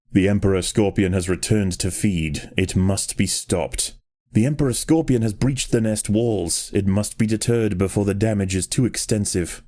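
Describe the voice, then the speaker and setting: A man narrating a video game like a grave nature documentary, in a measured, solemn and precise manner. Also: deep voice, dark tone, lightly acted.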